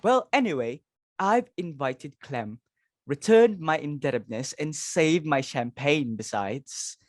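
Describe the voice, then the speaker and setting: A woman's voice: sits low, animated, slow. A woman playing a fussy, snobbish society hostess and mother in a dramatic reading of a stage comedy, speaking in a haughty, exclamatory way. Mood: brisk, self-satisfied.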